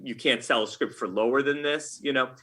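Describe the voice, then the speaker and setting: An American man chatting as an interview guest in a casual, halting way, thinking aloud. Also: mid-range voice, a bit bright. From United States. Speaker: podcast guest, game writer.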